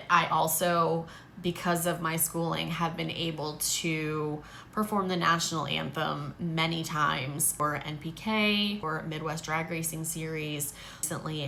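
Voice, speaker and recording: A woman talks straight to the camera, loose and candid, often breaking into a laugh. Her voice sits fairly low yet sounds bright and forward. A faint hiss of background noise runs under the recording. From New York. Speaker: photographer and content creator. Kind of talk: casual Q&A to camera.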